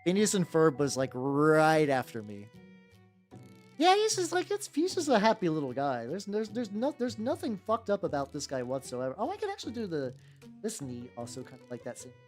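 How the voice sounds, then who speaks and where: Rambling, animated streamer over soft music. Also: mid-range voice, a little fast. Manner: rambling, stammering, repeats words mid-sentence; irreverent, sweary. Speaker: livestreamer. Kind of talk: casual livestream chat while painting miniatures. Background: background music.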